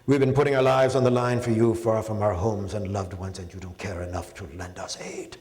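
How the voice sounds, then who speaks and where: A man's voice: lowish voice, flat delivery, fairly quick, darkish tone, natural voice. A man voicing a wounded, grieving warrior in an epic poem, pleading in an impassioned, declamatory way. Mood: urgent reproach. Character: wounded, grieving warrior praying and rebuking his lord. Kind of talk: dramatic epic poetry reading. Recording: echoey hall with long reverb.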